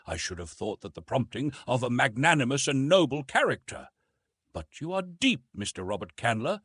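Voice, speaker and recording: A man's voice: mid-range voice, fairly bright tone, breathy edge, no room sound. A man voicing a proud young woman with a cool, articulate and level manner in an audiobook.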